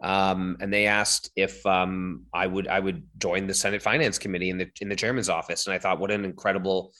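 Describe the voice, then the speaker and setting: A man in his thirties, a company founder, recounting his career in a relaxed interview with many fillers. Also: deep voice, a little fast. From born in Hong Kong, raised in London. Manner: chatty, with many fillers and self-corrections. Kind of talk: interview, personal storytelling.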